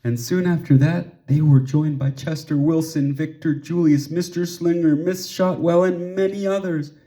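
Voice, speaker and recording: A man reading a children's story aloud expressively, with measured pauses and emphasis for drama. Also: fairly high pitch, fairly even intonation, warm tone. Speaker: storybook reader.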